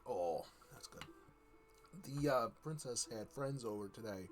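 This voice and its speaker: A man's voice: fairly low pitch, lively intonation, measured pace, faint music behind. A man playing an easygoing court wizard who rambles and grumbles good-naturedly as he tells stories on a stream. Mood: mildly grumbling. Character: easygoing court wizard chatting from his castle cabin. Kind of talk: in-character storytelling stream.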